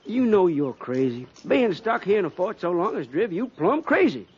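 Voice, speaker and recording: Folksy backwoods cavalry private. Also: male voice, high-pitched, very animated, moderate pace, a little mellow. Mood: placating. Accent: American. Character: easygoing, cautious, folksy cavalry private, the peacemaker. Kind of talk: 1950s radio western acting. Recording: muffled, noisy 1950s radio recording.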